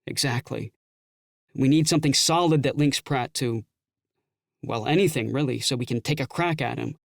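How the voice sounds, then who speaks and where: A man acts out a youthful male police detective in a full-cast audiobook. His voice has a gravelly creak, and he talks in keen, informal, slangy fashion. The sound is close and dry. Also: mid-range voice, moderate pace, no room sound, natural voice. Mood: keen, frustrated. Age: young adult. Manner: slangy, casual, eager.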